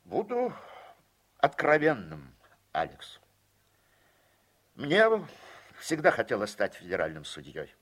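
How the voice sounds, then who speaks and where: Slow-spoken, expressive earnest judge. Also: male voice, on the low side, full character voice. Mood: earnest, deferential. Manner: deliberate, respectful, guarded. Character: earnest, deferential male judge with political ambitions. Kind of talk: Soviet-style radio play acting.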